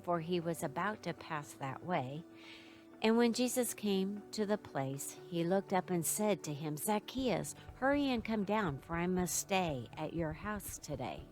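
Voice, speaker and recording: Lady reading scripture, kinda low, soft music under it. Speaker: scripture reader.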